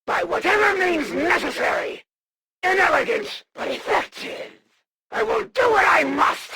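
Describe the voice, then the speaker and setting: A woman voicing a proud, honor-bound alien female assassin for a video game, speaking in a formal, stately way with a gravelly, creaky edge. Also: very high-pitched, animated, noisy background, exaggerated voice. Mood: determined. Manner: formal, archaic, stately and deliberate. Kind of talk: video game villain voice lines.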